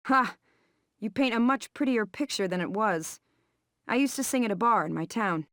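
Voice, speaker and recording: A woman's voice: on the high side, expressive, normal speed, fairly bright tone, no echo, studio quality. An actress playing a wry, brassy female soldier in a game, casual and sassy in her delivery. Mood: wry, modest.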